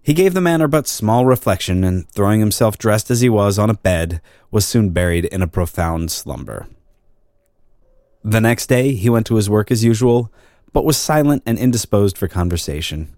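A man reading an old crime story as narrator, in a measured, formal storytelling manner. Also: on the low side, animated, a little mellow, dry recording, clean studio sound.

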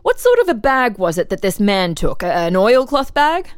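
A woman's voice: medium pitch, sing-song, normal speed, clean tone, no room sound, natural voice. A woman playing an official interrogator who puts formal, leading questions in a dramatic reading of a transcript. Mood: insistent, formal. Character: probing, insistent official interrogator questioning a murder suspect.

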